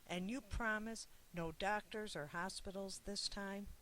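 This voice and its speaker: A storybook narrator voicing an excited, curious young boy with an eager, questioning manner. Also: medium pitch, measured pace, a little hiss or hum, pitched above the performer's own voice, low-quality recording.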